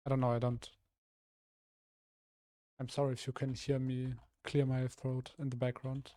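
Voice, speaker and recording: A young man from Germany, a streamer with a rough, slightly hoarse voice, thinking aloud hesitantly with many restarts while he builds in a game. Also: mid-range voice, completely flat delivery, normal speed, mellow, a little hiss or hum. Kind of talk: casual live-stream commentary while building.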